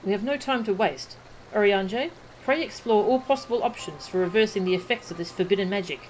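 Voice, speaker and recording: A woman reading out a decisive young leader's orders in a game, brisk and commanding. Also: medium pitch, a little hiss or hum, lightly acted, low-quality recording. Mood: urgent. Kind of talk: reading game dialogue aloud.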